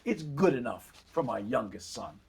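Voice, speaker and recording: A man acts out a grudging fairy-tale mother with a husky voice and animated pitch. She is stingy and scornful, speaking with exasperated, dismissive weariness. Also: moderate pace, exaggerated voice.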